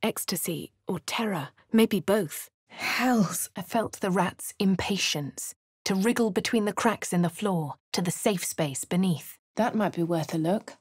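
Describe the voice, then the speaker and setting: An actress voicing a dry-witted, composed female adventurer in a video game, with a husky upper-class English accent and a crisp, measured delivery. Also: medium pitch, no room sound. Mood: wry. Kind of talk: video game voice lines, in-character narration. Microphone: close studio mic.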